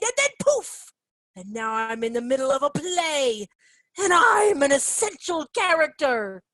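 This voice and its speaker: Lady playing a paranoid spy-hunter, shrieky high, swoopy, slow and shouty. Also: no room sound, full character voice. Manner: frantic, shouty, conspiratorial. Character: paranoid frantic spy-hunting parent. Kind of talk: amateur theatre acting.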